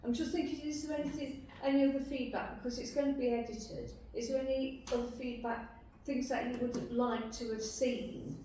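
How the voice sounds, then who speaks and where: Mellow woman, distant and very echoey. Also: high voice, steady tone, moderate pace, few pauses. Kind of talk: casual group discussion. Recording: very echoey room, distant mic, faint noise.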